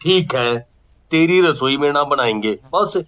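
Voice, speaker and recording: A man voicing a gruff, protective ghost father, a wrestler with a Haryanvi accent, in an animated story. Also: fairly high pitch, a little slow. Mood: firm, protective. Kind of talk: Hindi animated moral horror story acting. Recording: muffled recording with music bed.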